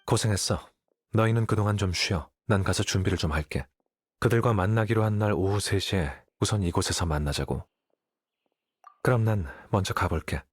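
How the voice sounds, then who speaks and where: Cool young scholar, deep raspy voice, dry n calm, anime game, very dry studio sound. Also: male voice, normal speed, no echo. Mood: calm, businesslike. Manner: composed, dry, matter-of-fact. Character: cool, aloof young male scholar, calmly confident.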